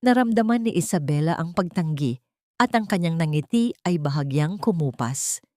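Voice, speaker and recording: A woman with a Filipino accent narrating a scripted dramatic love story in a solemn, measured storytelling manner. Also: deep voice, lively intonation, darkish tone, no room sound, clean studio sound.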